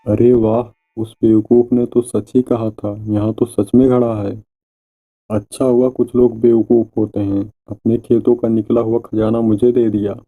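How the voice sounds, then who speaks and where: A man's voice: fairly low pitch, level tone, measured pace, mellow, rough recording. A man voicing a greedy, mocking, scheming villager in a cartoon moral story, with a taunting, exclamatory delivery. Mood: scheming, gloating.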